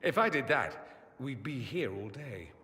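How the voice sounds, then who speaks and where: An actor playing a witty, vain, sardonic mage in a fantasy video game, with theatrical, flippant delivery. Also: male voice, medium pitch, pushed and bright, a little hiss or hum, heavily acted. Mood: teasing, flippant. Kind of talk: video game character dialogue. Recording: long hall reverb.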